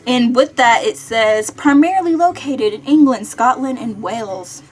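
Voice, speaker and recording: A young American woman chatting casually to viewers in an animated, self-deprecating way, like a vlogger. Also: fairly high pitch, moderate pace. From Kentucky, United States. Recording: music behind the voice.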